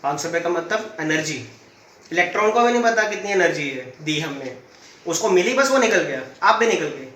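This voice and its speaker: A man's voice: high voice, lively intonation, a little fast, fairly bright tone, reverberant, far from the mic. A man with a sore throat teaching a physics class, putting questions to the students and answering them himself. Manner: uses everyday stories as examples. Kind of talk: classroom lecture.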